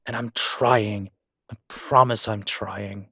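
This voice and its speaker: A man playing a guilt-ridden, gentle and wry ancient vampire in an intimate audio roleplay, speaking in a halting, reflective way. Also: on the low side, steady tone, husky, no echo, clean studio sound, full character voice. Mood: earnest, defensive. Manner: halting, reflective, with sighs and soft chuckles. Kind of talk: intimate one-sided audio roleplay acting.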